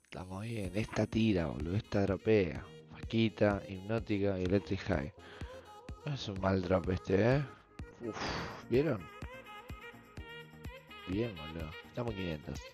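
Male streamer, young, Argentine accent, hoarse and lowish, slangy offhand chat over music. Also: unhurried, pauses often, a little mellow. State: hoarse from shouting. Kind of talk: casual gaming video commentary. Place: small room at home, indoors.

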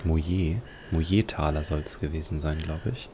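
A man giving reflective, slightly formal game commentary, pausing hesitantly as he thinks aloud. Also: very deep voice, mellow, a little hiss or hum. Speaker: let's player.

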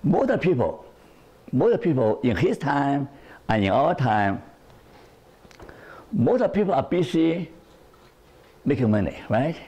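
An older man giving an animated lecture to a group in a living room, with a lapel mic. He often repeats words and checks in with "right?". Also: mid-range voice, measured pace, long pauses, husky.

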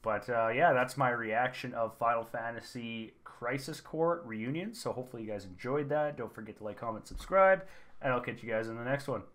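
A man's voice: mid-range voice, fairly quick, a bit bright, slight background noise. A young man casually reacting to a game trailer from his gaming room, thinking aloud with fillers. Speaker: reaction streamer. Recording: game trailer music underneath. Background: trailer music.

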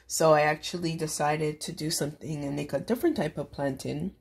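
Female home-cooking host, low-pitched, casual and explanatory. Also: steady tone, a little fast, vocal fry, breathy edge.

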